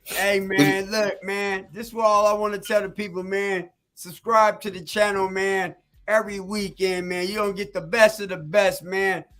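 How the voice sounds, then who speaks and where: A man co-hosting a casual podcast, hyping the channel with a drawn-out "man" at every turn. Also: high-pitched, flat delivery, a bit bright. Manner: hyped, promotional, drawn-out "man". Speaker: podcast co-host.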